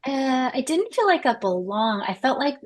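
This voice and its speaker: A woman's voice: high-pitched, big pitch swings, moderate pace. A middle-aged woman from Wisconsin with an American accent, telling personal stories casually as a podcast guest. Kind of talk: casual conversation, personal storytelling.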